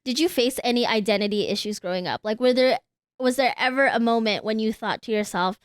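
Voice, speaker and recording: A young adult woman co-hosting a podcast in casual chat, animated, swearing freely and acting out quotes. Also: on the high side, brisk, clear, resonant tone, no room sound. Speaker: podcast co-host.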